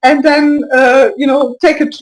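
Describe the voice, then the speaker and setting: A woman speaking as an interview guest in casual talk, halting, with many restarts and soft laughs. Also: high voice, flat delivery, rough recording. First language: not French. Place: lives in Brussels area.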